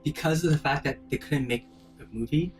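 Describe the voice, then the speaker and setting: A man's voice: fairly high pitch, brisk, far from the mic, faint music behind. A man giving casual game commentary in a reflective, explanatory way, feeling for his phrases. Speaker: co-host commenting on a game playthrough.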